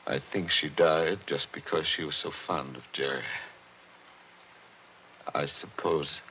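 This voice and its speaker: An actor playing a lonely attorney haunted by an old death, speaking in a measured, wistful, formal way in a 1940s radio drama. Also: male voice, a bass voice, steady tone, sharp tone, heavily acted. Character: lonely older attorney haunted by the past, genteel. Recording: muffled old radio broadcast with faint hiss.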